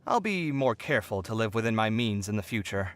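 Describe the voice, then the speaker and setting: A man voicing a proud, flamboyant young artist in a video game, talking in an expressive, theatrical way. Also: on the low side, fairly quick, lightly acted. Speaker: game character. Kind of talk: game character voice lines.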